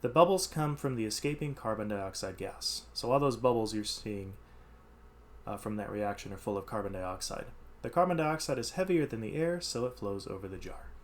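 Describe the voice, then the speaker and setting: A man's voice: fairly low pitch, normal speed. Man reading a science lesson aloud. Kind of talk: educational read-aloud with informal explanatory asides.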